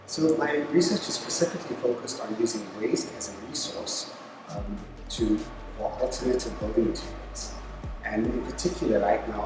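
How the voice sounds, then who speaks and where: Man civil engineer, echoey room. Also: medium pitch. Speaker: civil engineer, master's student. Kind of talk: self-introduction.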